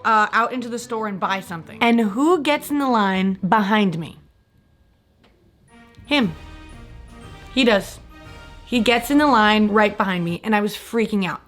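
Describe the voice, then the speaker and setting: A woman's voice: on the high side, fairly bright tone. A young woman telling a story in a casual vlog, chatty and self-mocking, her voice a little strained from a sore throat. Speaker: vlogger. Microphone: switches between a mic and the camera mic.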